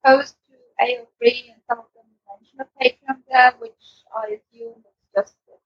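Female panelist, high-pitched and strongly husky, dry wit in casual chat, somewhat muffled recording. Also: level tone, normal speed. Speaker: podcast panelist.

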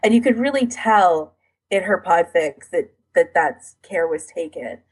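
A woman's voice: on the low side, animated, unhurried, a little mellow, husky, rough recording. A woman co-hosting a podcast in casual, informal chat, sprinkling her talk with "like". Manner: informal, frequent "like" as filler. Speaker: podcast co-host.

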